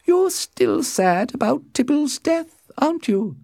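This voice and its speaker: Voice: high voice, big pitch swings, slow, creaky, darkish tone, dry recording, studio quality, voice pushed up, exaggerated voice. A performer voicing a cold, domineering noblewoman and mother in a storybook reading, with emphatic, imperious delivery. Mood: brisk, insistent.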